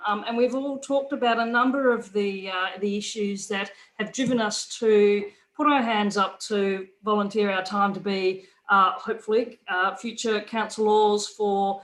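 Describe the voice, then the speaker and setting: A woman's voice: medium pitch, fairly bright tone. A woman, a council candidate speaking earnestly with many fillers. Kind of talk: unscripted town hall answer.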